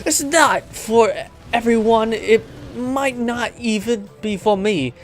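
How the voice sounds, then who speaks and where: A man's voice: very high voice, slow pace, faint music behind, voice pushed up, full character voice. A man voicing an anguished, self-doubting young pro gamer from a visual novel, declaiming dramatically. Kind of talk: visual novel character reading.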